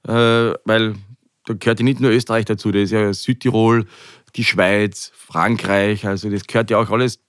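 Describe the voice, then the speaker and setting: A man's voice: fairly low pitch, steady tone, moderate pace, clean tone, dry recording. Austrian man, hesitant talker, close dry sound. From Carinthia, Austria. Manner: hesitant, repeats words while searching for phrasing. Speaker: chef, interview guest. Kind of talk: conversational interview.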